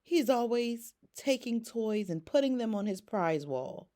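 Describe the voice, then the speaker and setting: Storybook role: boastful, scheming male plush toy, played by a woman, excitable and theatrical, with big pitch swings. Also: unhurried. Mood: eager.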